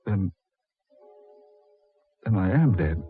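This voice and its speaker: An actor playing a guilty, defensive dead millionaire, husky and agitated, in 1940s radio horror style on a muffled old recording. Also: male voice, low voice, lively intonation, extremely slow, soft background music, exaggerated voice. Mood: bewildered, fearful. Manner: agitated, pleading, protesting.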